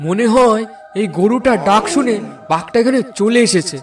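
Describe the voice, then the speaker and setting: A man's voice: high-pitched, moderate pace, recorded from a distance, music in the background, close to an everyday voice. A man voicing a jumpy, watchful village helper in a cartoon. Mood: uneasy. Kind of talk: Bengali cartoon dubbing.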